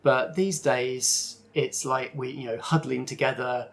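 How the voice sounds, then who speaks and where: A performer with a creaky voice playing a discontented, reluctant local teenager in improvised roleplay, rambling and hesitant. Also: medium pitch, fairly even intonation, unhurried, lightly acted. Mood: glum, wistful. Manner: rambling, hesitant, full of "like" and "you know".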